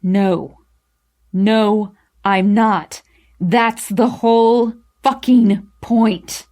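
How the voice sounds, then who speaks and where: A woman's voice: fairly low pitch, fairly even intonation, slow, vocal fry, a little mellow. Woman reading as brooding, snappish young man. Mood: angry, bitter. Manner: curt, cutting.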